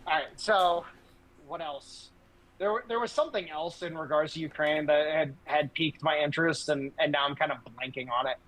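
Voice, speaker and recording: A male podcast host with a high-pitched, bright and forceful voice. He talks casually about politics, blunt and brash, with plenty of swearing thrown in. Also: normal speed, poor audio.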